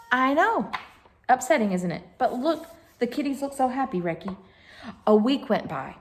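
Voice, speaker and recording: Lady doing kids story time, takes it real slow, lots of up and down in her voice, chats to listeners. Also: medium pitch. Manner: expressive, with chatty asides to listeners. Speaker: storyteller reading a children's picture book. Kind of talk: read-aloud story time for children.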